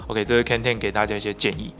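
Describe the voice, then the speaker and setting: A man's voice: fairly low pitch, little pitch movement, racing, a bit bright, soft background music. A man in his thirties with a Taiwanese Mandarin accent, hosting an interview and explaining the guest's points to viewers. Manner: fluent, explanatory, with sentence-final particles. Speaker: host. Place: bright office room. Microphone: handheld mic.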